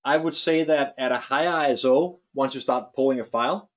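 A man's voice: mid-range voice, fairly quick. Danish-accented man, 40s, hesitant webinar expert; lo-fi studio audio. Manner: hesitant, restarts phrases. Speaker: camera company expert. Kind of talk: webinar discussion. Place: studio with large screen.

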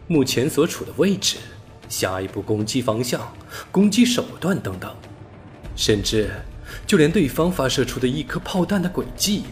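A man reading a science fiction novel aloud as an audiobook narrator, steady and storytelling, lifting his voice on key words. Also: mid-range voice. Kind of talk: scripted sci-fi novel narration.

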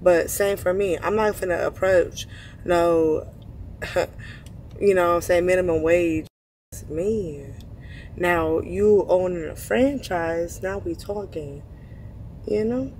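A woman's voice: fairly low pitch, slow, a little mellow, a little hiss or hum. A young woman from near Houston, bantering bluntly and sassily in slangy casual talk inside a parked car. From Texas, near Houston. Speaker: prank target. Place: outdoors on a street, then inside a parked luxury car.

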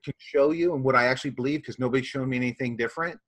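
A man playing an earnest, deadpan conspiracy believer who explains his theories in a halting way. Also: medium pitch, close to an everyday voice. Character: earnest conspiracy-minded man, flat-earther. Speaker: guest. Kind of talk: improvised comedy character.

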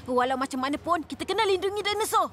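High-voiced brave cartoon boy. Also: expressive, fast, fairly bright tone. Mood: determined. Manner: earnest, urgent. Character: brave, determined young boy hero leading a team. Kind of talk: children's animated series acting.

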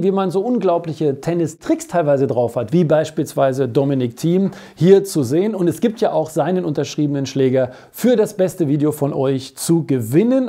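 A middle-aged man hosts a sports web show. His voice sits a bit high for a man and is mellow and relaxed. He talks in a chatty, joking way, straight to the viewers. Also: husky. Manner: colloquial, jokey, addresses viewers directly. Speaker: host of a weekly tennis show. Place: small cluttered basement studio.

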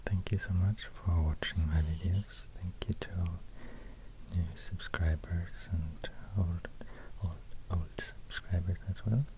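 Male ASMR host, Swedish accent, soft whisper, slow and hesitant delivery, close binaural mic with slight background noise. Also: deep voice, fairly even intonation. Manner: gentle, unhurried, hesitant. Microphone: close binaural microphone. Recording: close binaural mic, faint hiss, clicks.

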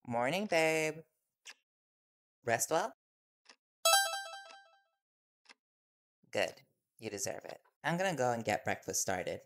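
A man's voice: mid-range voice, big pitch swings, a little slow, fairly bright tone. A man playing an easygoing, teasing young reporter boyfriend in an audio roleplay, talking casually and with playful stubbornness. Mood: playful, fond.

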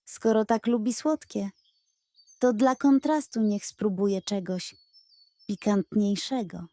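A woman playing a suspicious, quietly cunning housewife who talks like a detective in a children's audio tale. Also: mid-range voice, fairly even intonation, slow pace, no room sound, studio quality. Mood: sly. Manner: muttering, shrewd.

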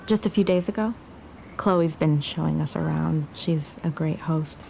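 An actress playing a tender, candid young woman in love in an audio drama, gentle and intimate. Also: low voice, unhurried, vocal fry, a little hiss or hum, low-quality recording. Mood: wry, guarded. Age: young adult. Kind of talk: naturalistic audio drama acting.

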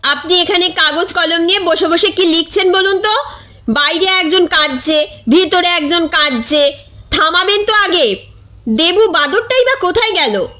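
A woman's voice: extremely high pitch, expressive, a little slow, pitched above the performer's own voice, exaggerated voice. A woman voicing an irritated young woman who scolds her household in a comic story. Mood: annoyed scolding. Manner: sharp, scolding.

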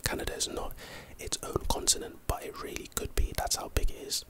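A man with a London accent doing casual ASMR commentary, reading and reacting to trivia in a rambling, playful, slangy way. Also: brisk. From London, England.

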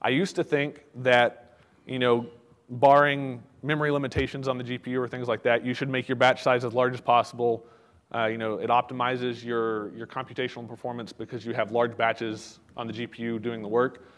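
A man's voice: medium pitch, level tone, brisk, a bit bright. Man lecturing in a reverberant hall. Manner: conversational, many "uh" fillers and restarts. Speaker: conference speaker. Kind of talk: technical conference talk. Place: conference hall.

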